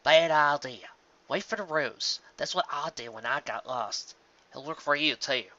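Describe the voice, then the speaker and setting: Steady, plainspoken farm mare for a storybook, acted by a reader, matter-of-fact, on a dull lo-fi recording. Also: medium pitch, measured pace. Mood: firm, advising.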